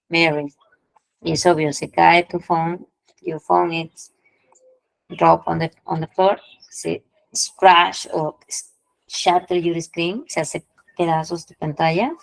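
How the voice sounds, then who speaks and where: A woman's voice: on the low side, little pitch movement, a little slow, gravelly, long pauses, no room sound, rough recording. A woman teaching English idioms in an online class, explaining patiently, repeating phrases and checking in with her students. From Peru.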